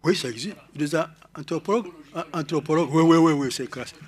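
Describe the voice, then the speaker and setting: A man from Rwanda with a Rwandan French accent, speaking to an audience in a halting, explanatory way, often repeating words and restarting. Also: fairly high pitch. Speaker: conference speaker. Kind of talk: lecture-style talk to an audience.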